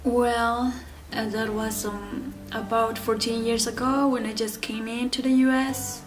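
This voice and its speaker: A woman in her thirties from Ecuador telling her own story in an interview, speaking haltingly with many fillers and restarts. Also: fairly high pitch, little pitch movement, far from the mic, over music, poor audio. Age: 30s. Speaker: interview guest.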